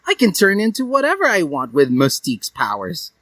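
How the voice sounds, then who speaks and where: Man doing a shrill, melodramatic teenage vampire girl. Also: huge pitch swings, brisk, recorded from a distance, over loud music. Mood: excited. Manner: dramatic, snippy, exclaiming.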